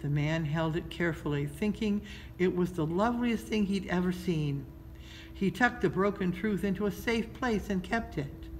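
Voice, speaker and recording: A woman reads a children's story aloud in a measured, expressive storytelling style, pausing between phrases. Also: lowish voice, low-quality recording. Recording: noticeable background noise.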